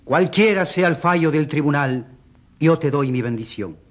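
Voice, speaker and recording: A man's voice: on the high side, lively intonation, normal speed, lightly acted. An actor playing a fatherly, firm bishop who testifies with emphatic oratory in a radio drama. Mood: fatherly, resolute. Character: solemn male bishop of a rural diocese, fatherly, firm.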